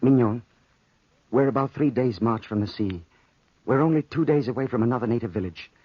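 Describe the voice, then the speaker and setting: A man's voice: medium pitch, brisk, slightly rough, no echo, close to an everyday voice. A man playing a lovesick young soldier on the run in a 1940s radio drama, earnest, pleading and hesitant. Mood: tender. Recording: muffled, dry 1940s radio recording.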